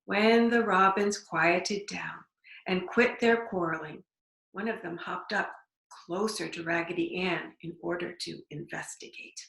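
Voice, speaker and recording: A woman reading a storybook aloud to young children, deliberately, with simple explanatory asides. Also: fairly low pitch, creaky, fairly bright tone. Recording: echoey room.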